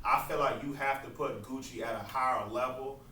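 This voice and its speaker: A man's voice: mid-range voice, fairly even intonation, bright, forceful tone, slight background noise. A man with a nasal voice, talking in a laid-back, slangy way as a podcast guest. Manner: laid-back slangy talk, drawn-out trailing phrases. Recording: roomy echo from far off, faint ambience.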